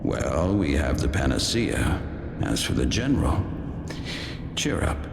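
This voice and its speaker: Game drama: wry, halting man, deep voice, roomy reverb, noisy ambience. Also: sing-song, heavily acted. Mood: wry, calming. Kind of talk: theatrical game dialogue. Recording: echoey hall, clear noise and ambience.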